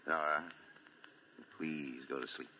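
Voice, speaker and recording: An actor playing a suave, wry American husband and amateur detective with deadpan wit, in 1940s radio style. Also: male voice, rumbling low, takes it slowly. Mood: weary, soothing. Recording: muffled, faintly noisy 1940 radio broadcast.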